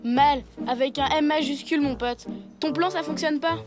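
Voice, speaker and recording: A woman voicing an anxious, mischievous young elephant boy in a French cartoon, expressive and dramatic. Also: high-pitched, moderate pace, music in the background, close to an everyday voice. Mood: dejected. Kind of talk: French animated series dubbing.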